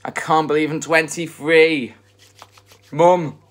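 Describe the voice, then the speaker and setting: A young man in his twenties talks into a phone camera in his bedroom, slow and earnest, circling back to the same point again and again. His voice stays flat and level, with hardly any rise or fall. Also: a little high. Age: 20s. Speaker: vlogger. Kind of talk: casual eating vlog chat. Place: bedroom at home, indoors.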